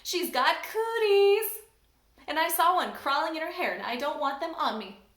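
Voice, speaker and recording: A woman voicing a bossy, menacing bully schoolgirl in a storybook reading, with a drawn-out, taunting delivery. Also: high-pitched, animated, fairly bright tone, voice pushed up. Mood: accusing. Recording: roomy echo.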